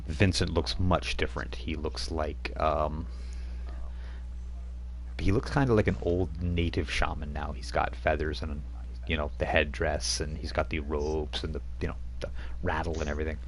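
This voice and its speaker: Deep-voiced rambling game master man. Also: steady tone, moderate pace, a little hiss or hum, rough recording. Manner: rambling, chuckling storyteller with fillers. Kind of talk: narrating a tabletop game.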